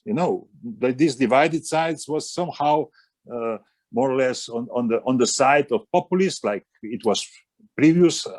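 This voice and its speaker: Halting man speaking in a dry room. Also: mid-range voice, dry recording. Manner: halting, many fillers and restarts. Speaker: panelist. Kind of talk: roundtable discussion.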